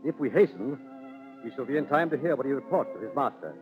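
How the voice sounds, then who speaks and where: A man's voice: medium pitch, lively intonation, fast. Theatrical male radio narrator, tinny old recording. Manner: declamatory, storytelling. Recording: tinny 1930s radio, music behind.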